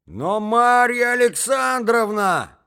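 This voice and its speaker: A man voicing an indignant, wounded young gentleman in a dramatic reading of a story, with exclamatory, emphatic speech. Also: medium pitch, animated, moderate pace, lightly acted. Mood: angry, defiant. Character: enraged, wounded young gentleman, rejected suitor.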